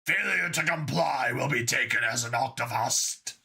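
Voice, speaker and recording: A man voicing an angry giant bug military commander in an audiobook, with a gravelly deep voice and slow, imperious delivery. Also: bright, forceful tone, heavily acted. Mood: menacing command.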